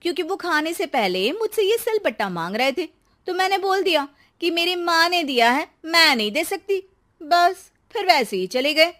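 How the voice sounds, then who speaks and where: A woman's voice: extremely high pitch, big pitch swings, normal speed, a bit bright, pitched above the performer's own voice, exaggerated voice. A woman voicing a clever young village wife in a cartoon story, exasperated yet sly and sweet-talking. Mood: feigned innocence.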